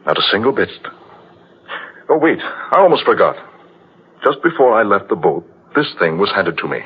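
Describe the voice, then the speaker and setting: An actor playing an astonished, earnest seafarer, melodramatic in an old radio drama style. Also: male voice, fairly low pitch, very animated, normal speed, husky, heavily acted. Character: astonished, earnest male seafarer, witness to a haunting. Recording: dull old radio recording, music behind.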